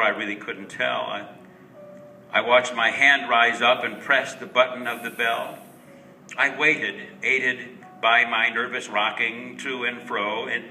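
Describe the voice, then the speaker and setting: A man giving an expressive, dramatic recitation of a rhyming story, with deliberate pauses. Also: mid-range voice. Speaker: reader of a Christmas verse story. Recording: roomy, distant mic with faint noise.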